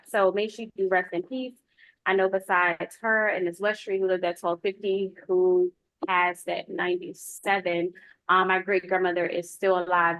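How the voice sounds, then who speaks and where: A woman's voice: lowish voice, fairly bright tone, no echo, low-quality recording. A woman from the United States, a police lieutenant, giving an informal spoken report at a public meeting.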